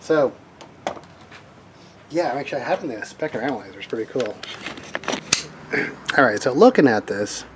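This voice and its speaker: A man's voice: medium pitch, expressive, normal speed, long pauses, very noisy. A man giving a casual hands-on tech demo as a hobbyist, talking informally and rambling as he thinks aloud. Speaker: hobbyist radio operator demonstrating equipment.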